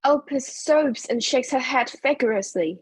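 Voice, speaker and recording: A woman narrates a play reading. Her voice is very breathy and husky, set a little high. She reads the stage directions slowly and plainly. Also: expressive, dry recording.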